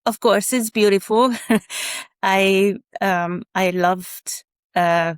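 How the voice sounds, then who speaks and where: A woman's voice: mid-range voice, drawn-out words, clear, resonant tone, no echo, clean studio sound. A woman in her fifties from Hungary with a Hungarian accent, a special educator speaking as a podcast guest with many fillers and restarts. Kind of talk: podcast interview.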